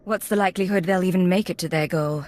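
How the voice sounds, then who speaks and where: A woman's voice: fairly low pitch, fast talker, a little mellow, natural voice. Woman playing a cool, direct secret agent. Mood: businesslike. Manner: direct, self-possessed. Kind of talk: video game voice acting.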